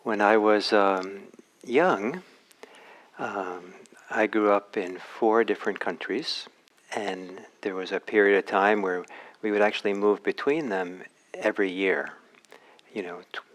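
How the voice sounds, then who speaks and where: A man in his sixties from Norway giving a dharma talk, unhurried, with many pauses and restarts and gentle laughs at his own jokes. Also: on the low side, low-quality recording. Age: 60s. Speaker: dharma teacher.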